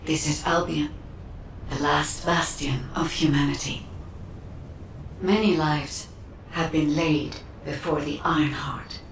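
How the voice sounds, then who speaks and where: Game guide AI: solemn, pleading, very slow, distant and echoing over clear noise. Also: female voice, steady tone. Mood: solemn appeal. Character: calm female guide, ancient AI system, earnestly pleading. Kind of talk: scripted video game acting. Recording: distant, echoing, noisy rumble.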